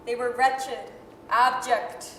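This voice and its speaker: A woman's voice: a little high, level tone, slow, fairly bright tone. A woman playing a grave storyteller, narrating a staged 1930s radio-style drama. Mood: grave, descriptive. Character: grave storyteller narrating a Victorian ghost tale. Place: large theatre hall, staged reading. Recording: echoey hall, faint noise.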